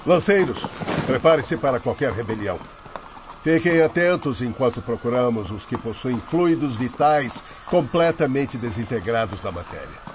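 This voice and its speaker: A man's voice: medium pitch, animated, normal speed, close to an everyday voice. A man playing the stern leader of a spirit rescue caravan in a radio drama, giving commands. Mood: commanding. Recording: muffled audio with noise, voice set back.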